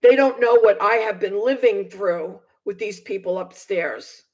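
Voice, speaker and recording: A woman's voice: mid-range voice, a little slow, darkish tone. A woman in her sixties giving personal testimony to viewers in an aggrieved, emphatic manner, repeating phrases for stress. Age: 60s. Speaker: vlogger recounting personal experience.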